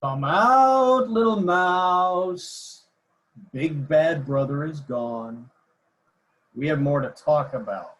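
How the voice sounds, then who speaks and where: A man's voice: on the high side, animated, extremely slow, fairly bright tone, full character voice, poor audio. An actor in a screenplay table read playing a menacing male gang leader who sneers and taunts with coarse, mocking talk. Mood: mocking menace.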